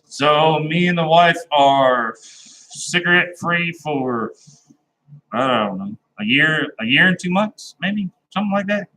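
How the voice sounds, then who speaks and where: Man, live-stream host, plain country talker, rambling, bright tone. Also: on the high side, expressive, slow pace, rough recording. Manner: rambling and laid-back, with many "uh"s and restarts. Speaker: livestream host chatting with viewers. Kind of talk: casual live-stream chat.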